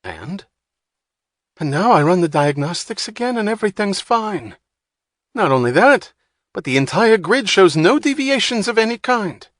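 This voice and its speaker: A man's voice: mid-range voice, normal speed, fairly bright tone, no room sound, clean studio sound, natural voice. A man voicing an irritable, profane male computer technician who snaps at everyone. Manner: snapping, abrasive, exasperated.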